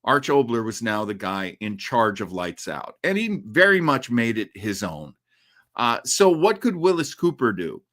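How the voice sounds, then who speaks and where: A man's voice: mid-range voice, sing-song, unhurried, a bit bright. A man hosting a livestream with casual, conversational commentary, rambling and a little hesitant. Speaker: livestream host. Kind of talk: casual commentary on old radio.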